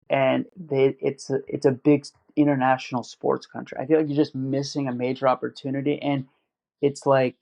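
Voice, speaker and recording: Gravelly man rambling on a podcast. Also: mid-range voice, darkish tone. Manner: rambling, many "like" fillers and restarts. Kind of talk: casual podcast chat. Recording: dull lo-fi audio.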